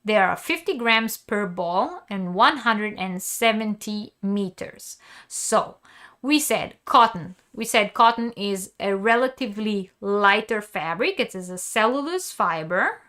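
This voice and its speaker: Slow-talking woman teaching crochet. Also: medium pitch, animated, pushed and bright. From Israel. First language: Hebrew. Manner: explanatory, many ums and uhs. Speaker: crochet teacher hosting a live stream. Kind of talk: live-stream tutorial, reading chat.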